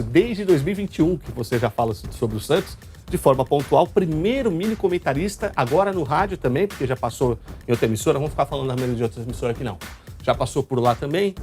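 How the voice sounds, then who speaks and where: Male live football show host, Brazilian accent from São Paulo state, rapid casual delivery, music underneath. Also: mid-range voice, darkish tone. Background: background music.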